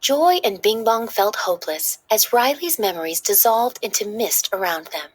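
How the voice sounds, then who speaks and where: A woman narrating a children's story in an expressive, dramatic read-aloud style. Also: medium pitch, moderate pace.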